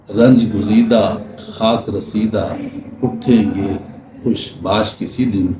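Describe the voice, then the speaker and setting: Older man, dark mellow tone, measured literary lecturer, deliberate pauses, roomy echo. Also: mid-range voice. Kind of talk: literary talk read from a written essay, with verse recitation. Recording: roomy echo with faint background noise.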